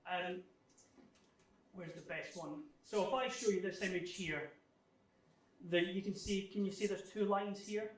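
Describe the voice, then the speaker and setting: Man, somewhat higher voice, halting explanatory club talk, informal, distant microphone, roomy echo. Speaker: guest speaker giving a technical club talk. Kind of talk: informal technical presentation with question answering. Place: club meeting room, live talk. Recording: echoey room, distant microphone.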